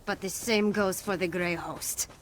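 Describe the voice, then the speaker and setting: A woman's voice: on the low side, steady tone, measured pace, background noise, natural voice. An actress playing a determined young warrior woman in a video game, speaking in an earnest, resolute manner. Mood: grim resolve. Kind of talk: scripted video game dialogue.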